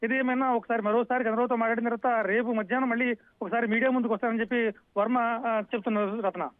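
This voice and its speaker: Fast, high-pitched male reporter on the phone. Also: steady tone, no room sound. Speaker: field news reporter. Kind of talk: unscripted live news report. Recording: dull, dry phone line.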